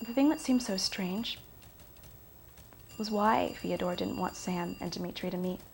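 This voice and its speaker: A woman's voice: on the low side, measured pace, creaky, a little hiss or hum. An actress playing an aggrieved, curious ex-girlfriend giving naturalistic testimony about her lover in a film drama. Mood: puzzled.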